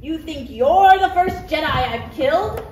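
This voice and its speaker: A woman's voice: high voice, lively intonation, a little slow. A woman playing a cocky, wisecracking male bounty hunter in a comedic script table read, with taunting delivery. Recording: roomy, distant mic, background noise.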